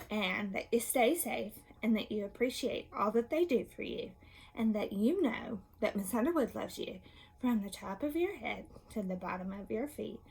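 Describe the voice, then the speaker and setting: A woman with a high, very expressive voice speaks as a teacher to young children. She takes her time, in an encouraging read-aloud style, posing simple questions and confirming the answers. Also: vocal fry, husky, low-quality recording. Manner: encouraging, praising, asks questions and answers them.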